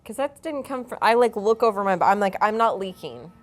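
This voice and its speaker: A woman speaks in a medium pitch, talking casually as a player in a tabletop game. There is faint music playing behind her voice. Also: animated, fairly quick. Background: background music.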